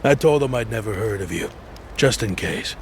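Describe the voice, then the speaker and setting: A man's voice: fairly low pitch, expressive, normal speed, slight background noise. A man playing a helpful, streetwise lookout in an English video game dub, talking in casual slang.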